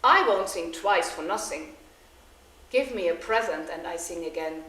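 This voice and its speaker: A woman voicing a small, proud magic songbird that sings its verses high and loud and speaks its bargains firmly. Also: animated, unhurried. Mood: stubborn. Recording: roomy echo, faint noise.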